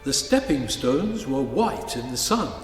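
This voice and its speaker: A man giving a measured, declamatory scripted literary narration in a large reverberant space. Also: mid-range voice, very animated. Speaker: narrator of a musical cantata. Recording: echoey hall, music behind.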